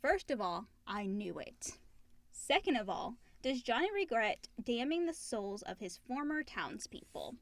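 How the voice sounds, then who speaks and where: A woman's voice: fairly high pitch, sing-song, measured pace, no echo. A woman co-hosting a casual question-and-answer show, reading listener questions aloud and chatting about them.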